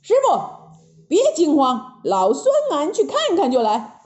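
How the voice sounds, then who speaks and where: A woman voicing a cheeky, excitable male monkey hero who talks insistently and theatrically to his master in a children's story. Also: high-pitched, unhurried, pitched above the performer's own voice, heavily acted. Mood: urgent. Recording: roomy, dull lo-fi sound.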